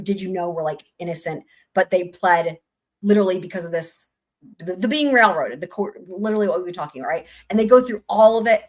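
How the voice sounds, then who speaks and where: A woman hosting a casual livestream, excited and rambling, her voice going hoarse. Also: lowish voice. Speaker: livestream host.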